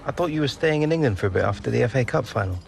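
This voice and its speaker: A man's voice: mid-range voice, expressive, rapid-fire, vocal fry. An actor playing a guarded, cocky young male footballer, casual and teasing, in a video game drama. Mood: suspicious. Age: young adult. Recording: loud music over the dialogue.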